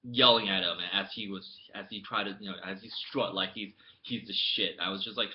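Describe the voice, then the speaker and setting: A young man from the United States, chatting casually to his camera as a vlogger. He tells his story in a rambling way with many restarts, and it swings from mumbled asides to loud outbursts. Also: lowish voice, steady tone, fairly quick, highs cut off, a little hiss or hum. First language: English. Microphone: laptop webcam mic.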